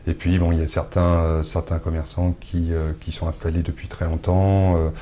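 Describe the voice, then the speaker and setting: A man's voice: sits low, flat delivery, normal speed, dark tone, slight background noise. A man from Brittany, a shop owner, answering interview questions hesitantly, with many filler sounds and restarts. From Brittany, France.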